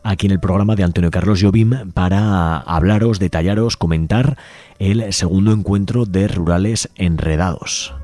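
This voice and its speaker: A man's voice: deep voice, moderate pace. A man from Spain hosting a community radio show, speaking unhurriedly and often restarting and rewording his phrases. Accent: Peninsular Spanish. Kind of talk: unscripted radio talk segment. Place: radio studio. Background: soft music bed.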